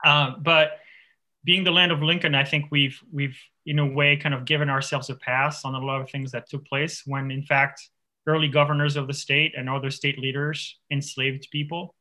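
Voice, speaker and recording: A man from France with a very bright, forward voice that sits a little high. He talks as an author guest in a radio interview. He speaks thoughtfully, restarting often and filling pauses. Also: level tone. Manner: thoughtful, many restarts and fillers. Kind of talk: radio interview, reflective conversation.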